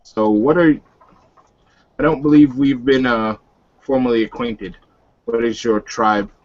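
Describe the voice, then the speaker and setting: A man playing a gruff, sardonic male werewolf warden in a tabletop game, with a dry delivery. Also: mid-range voice, fairly even intonation, measured pace, lo-fi sound, lightly acted.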